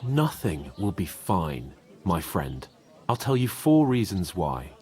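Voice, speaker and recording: Sarcastic young medieval nobleman, wildly animated. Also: deep voice, slow, exaggerated voice. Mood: bitter, mournful. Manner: sarcastic, swearing, griping. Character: gruff, sarcastic, hot-tempered young medieval nobleman down on his luck. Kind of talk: video game cutscene acting.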